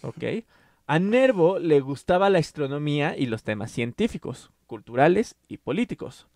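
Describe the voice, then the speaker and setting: A man's voice: a little high, very animated, moderate pace, a little mellow, no room sound, studio quality. A man hosting a casual literary podcast, explaining at length with fillers and restarts. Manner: explanatory, many fillers and self-corrections. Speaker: podcast host.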